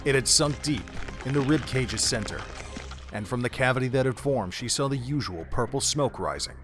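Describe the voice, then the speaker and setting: A man's voice: medium pitch, lively intonation, normal speed, fairly bright tone, faint music behind. Male narrator of a dramatic story.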